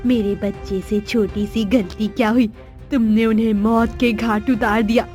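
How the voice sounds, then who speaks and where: A woman's voice: a little high, fairly even intonation, a little slow, a little mellow, soft background music, voice pushed down, lightly acted. A woman voicing a vengeful female shape-shifting serpent spirit in a cartoon story, speaking in a menacing, bitter way. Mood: bitter cursing. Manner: menacing, bitter, vowing revenge.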